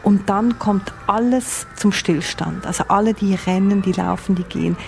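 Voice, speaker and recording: A woman's voice: mid-range voice, normal speed, gravelly, darkish tone, a little hiss or hum. A woman with a Swiss accent, a foreign correspondent giving vivid, explanatory answers in an interview and rephrasing as she goes. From Switzerland. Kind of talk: interview answers, descriptive reporting.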